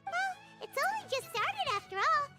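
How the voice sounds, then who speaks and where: A woman playing a small, excitable, childlike fairy companion in an anime fantasy game, squeaky and given to exclaiming. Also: moderate pace, over loud music. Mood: eager, chatty. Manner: exclamatory, speaks of self in third person.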